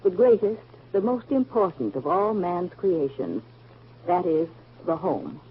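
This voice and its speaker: An actress playing a thoughtful grown woman in 1940s American radio drama, reciting in a measured, poetic way. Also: fairly low pitch, sing-song, slight background noise. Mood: reflective, reverent. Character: thoughtful grown woman Hazel of a family saga. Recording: muffled old 1940s radio recording.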